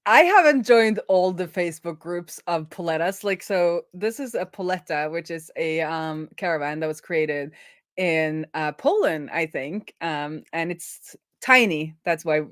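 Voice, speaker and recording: A woman's voice: low voice, normal speed, no echo, clean studio sound. A woman co-hosting a casual livestream chat in an enthusiastic, playful manner. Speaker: livestream co-host.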